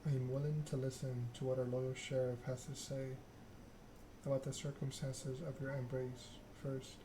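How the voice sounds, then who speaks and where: A man's voice: medium pitch, level tone, close to an everyday voice. Cold noble vampire prince, a woman, voiced by a man. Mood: stern, formal. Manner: formal, measured, commanding. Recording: clear background noise.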